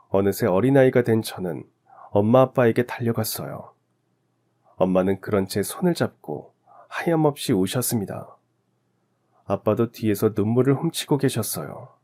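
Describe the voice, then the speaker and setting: A man's voice: on the low side, warm tone. A man reading scripted first-person narration for a sentimental radio story.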